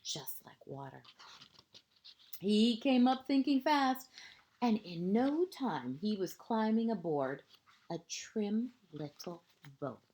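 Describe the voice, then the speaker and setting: A woman reading a children's picture book aloud like a kindergarten teacher, expressive and deliberate, with dramatic pauses and emphasis for young listeners. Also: medium pitch. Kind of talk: children's storybook read-aloud.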